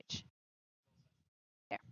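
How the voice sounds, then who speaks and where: A woman's voice: drawn-out words, bright, forceful tone, no room sound, muffled recording. A woman hosting a live show with casual commentary, rambling and interrupting herself with asides.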